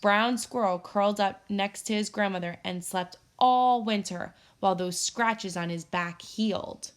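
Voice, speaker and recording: A woman's voice: medium pitch, a little slow, clean tone. Woman reading a children's story aloud. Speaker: teacher reading aloud to pupils.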